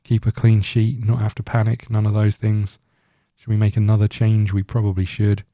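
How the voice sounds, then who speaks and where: A man with a very dark, relaxed voice on the low side talks through a video game. He rambles casually, thinking aloud as he hosts. The sound is dry and close. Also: normal speed, no room sound. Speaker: gaming video host. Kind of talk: casual let's-play commentary.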